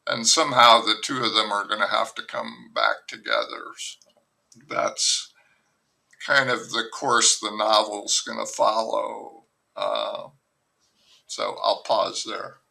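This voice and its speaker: A man's voice: lowish voice, slow, vocal fry, pushed and bright. An older man, a novelist being interviewed on a podcast, speaking frankly in a rambling way and often restarting his sentences. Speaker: novelist guest. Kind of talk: candid podcast conversation.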